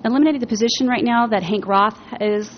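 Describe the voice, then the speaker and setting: A woman's voice: on the high side, fast. Earnest woman addressing a board from the podium mic, muffled meeting audio with faint hiss. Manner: earnest, with frequent ums. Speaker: chamber of commerce president giving public comment. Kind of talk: formal public comment at a meeting. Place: public meeting room. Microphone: podium microphone.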